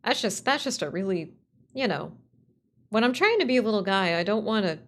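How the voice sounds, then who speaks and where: A woman's voice: medium pitch, big pitch swings, a little fast, a bit bright. A woman livestreamer chatting casually and playfully with viewers, rambling and swearing as she goes. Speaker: streamer, writer and voice actor.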